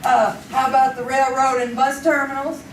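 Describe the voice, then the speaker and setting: An actress playing a catty, teasing police-desk reporter with a knowing manner in a live old-time radio drama. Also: female voice, high voice, flat delivery, moderate pace, lightly acted. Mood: concerned, brisk. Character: wry, catty, sharp-tongued female police-desk reporter. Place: theater stage, performed live. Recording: distant, echoey live stage, faint noise.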